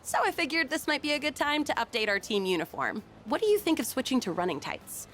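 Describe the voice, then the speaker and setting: A woman's voice: high-pitched, sing-song, fast, a bit bright, faint music behind. A young woman voicing an easygoing, frank, sporty teenage schoolgirl in a video game, with casual delivery. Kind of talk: video game character dialogue.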